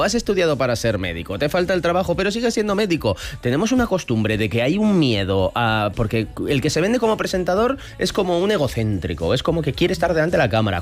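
A man from Spain hosting a casual panel chat, talking with emphatic, animated energy and laughing easily. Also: fairly high pitch. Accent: Spain Spanish. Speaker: host.

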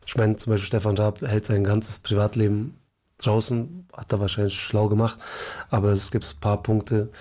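A man's voice: on the low side, fairly even intonation, moderate pace, darkish tone. A man in his thirties, born and raised in Berlin, a comedian answering interview questions in a laid-back way, with frequent fillers and easy laughter. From Berlin, Germany. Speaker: comedian guest.

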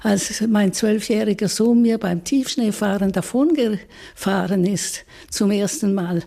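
A woman's voice: mid-range voice, measured pace, gravelly, warm tone. A woman in her eighties with a Swiss German accent, telling stories in a frank, reflective interview. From Switzerland. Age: 80s. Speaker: interviewee, psychologist. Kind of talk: recorded interview.

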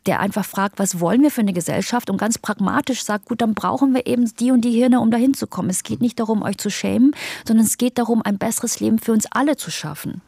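A woman's voice: a little high, quick pace, creaky, hardly any pauses, mellow, husky, dry recording, clean studio sound. A woman in her thirties, a political philosopher answering interview questions in an emphatic, pragmatic, argumentative way. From Germany.

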